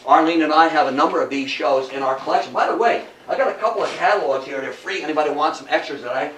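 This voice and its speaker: A man's voice: on the high side, fast talker, fairly bright tone. An older man giving an informal history talk to an audience, folksy and chatty, putting rhetorical questions to his listeners. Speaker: lecturer. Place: indoor room before an audience. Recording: roomy, distant mic, faint noise.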